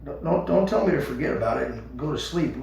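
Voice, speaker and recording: A man's voice: medium pitch, lively intonation, quick pace, creaky, darkish tone. A man voicing a small, nervous young male rabbit seer who pleads and stammers. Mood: frightened, urgent. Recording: echoey room, far from mic.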